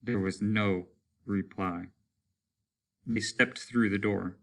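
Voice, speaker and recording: A man's voice: on the low side, fairly even intonation, slow pace, vocal fry. A man reading a story aloud as a teacher would, in a dramatic narrative style.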